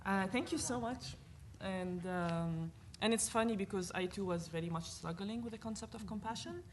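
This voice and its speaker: A woman from Lebanon with a Lebanese accent, speaking as a panelist at a university event in an earnest, animated way, thinking aloud with fillers. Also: medium pitch, normal speed, a little hiss or hum. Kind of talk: panel discussion talk.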